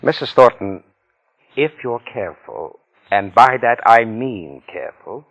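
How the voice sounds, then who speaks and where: An actor playing a hesitant, grave doctor in 1940s radio mystery style, formal and halting in his delivery. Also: mid-range voice, animated, a bit bright. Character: hesitant, grave doctor breaking hard news. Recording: dull, band-limited 1940s radio recording.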